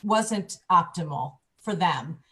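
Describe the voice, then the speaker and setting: A woman working as a divorce coach, explaining calmly on a talk panel, often restarting her sentences. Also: lowish voice, slow pace. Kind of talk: panel discussion.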